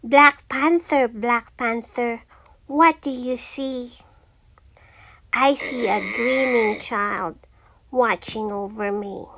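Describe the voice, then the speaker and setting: High-voiced woman reading very slowly to children. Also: fairly bright tone. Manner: singsong, deliberate repetitive phrasing. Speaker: teacher reading picture books aloud. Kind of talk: slow children's read-aloud.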